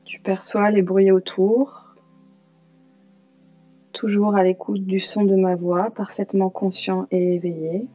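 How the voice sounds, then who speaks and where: A woman leading a guided yoga nidra meditation as an instructor, calm and soothing, leaving long pauses. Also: medium pitch. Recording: slightly dull lo-fi sound, music behind. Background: music.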